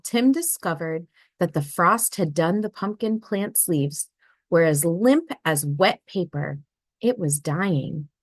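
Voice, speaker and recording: A woman reads a children's picture book at an unhurried pace. Her voice rises and falls in a lively way. The sound is dry and close to the mic. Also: lowish voice, no room sound. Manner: expressive, storybook tone. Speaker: read-aloud narrator.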